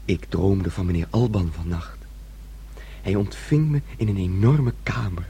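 An actor in a radio drama playing a naive, excitable young man, boyish, dreamy and eager to please, with a husky voice. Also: low-pitched, a little slow, heavily acted. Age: young adult.

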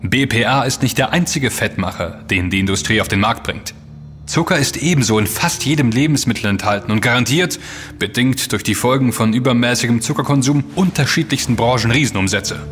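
An actor playing a self-assured, intense investigator in a German audio drama, speaking emphatically and accusingly, with dramatic delivery. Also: male voice, mid-range voice, animated, a little fast, a bit bright, close to an everyday voice. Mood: insistent. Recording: long reverb with music underneath.

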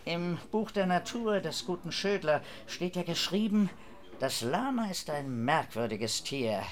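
An actor playing a sickly, sardonic scholar in a German radio drama, speaking in an ornate, pompous, theatrical way. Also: male voice, fairly high pitch, lively intonation, unhurried. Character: sickly, sardonic male scholar complaining with grandiose eloquence.

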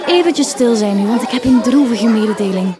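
Comic radio drama: sugary, strained Flemish village teacher played by a woman, highish pitch, music bed. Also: brisk, crowd noise, close to an everyday voice. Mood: sugary, apologetic.

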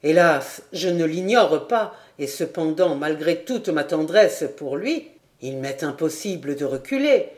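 A woman's voice: deep voice, lively intonation, measured pace, a little mellow. A woman voicing an earnest, resolute young nobleman who declares his feelings in a storybook reading.

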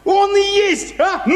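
Very high-pitched, fussy, gossipy clerk. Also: male voice, full character voice. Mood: gleeful, mocking. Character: fussy, moralizing, gossipy male petty official. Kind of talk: theatrical radio play acting. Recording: reverberant hall-like studio.

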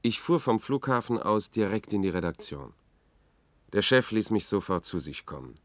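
Low-voiced, weary journalist, dry. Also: male voice, very animated, normal speed, no room sound. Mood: weary, factual. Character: weary, sober male journalist recounting a tragedy. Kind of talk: radio drama first-person narration.

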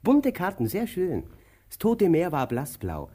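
Husky, wildly swinging grumpy tramp. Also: male voice, high voice, huge pitch swings, a little slow, dark tone, exaggerated voice. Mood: dreamy. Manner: grumbling, terse, swinging from groans to outbursts. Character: weary, grumpy tramp, sullen and childlike. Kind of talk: German radio play acting.

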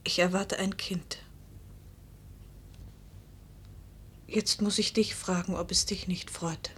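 A woman's voice: fairly low pitch, steady tone, normal speed, whispered, lightly acted. A woman playing a passionate, remorseful young wife and mother in a German radio play, intimate and confessional in manner. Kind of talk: German radio play acting and first-person narration.

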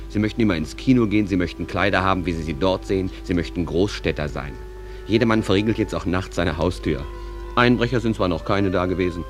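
An actor playing a calm, folksy stage manager who narrates a radio play, addressing listeners in a measured, conversational way. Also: male voice, deep voice.

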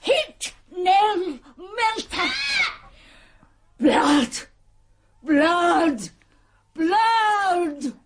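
A woman's voice: very high-pitched, very animated, drawn-out words, long pauses, shouting, background noise, full character voice. An actress playing an entranced witch in a radio drama, chanting strange words one at a time. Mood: frenzied. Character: entranced witch intoning a death spell.

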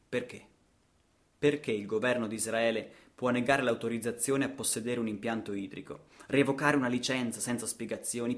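A man's voice: mid-range voice, a bit bright. Male actor for a radio theatre monologue; rhythmic, dramatic storyteller delivery. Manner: dramatic, rhythmic delivery with repetitions and rhetorical questions.

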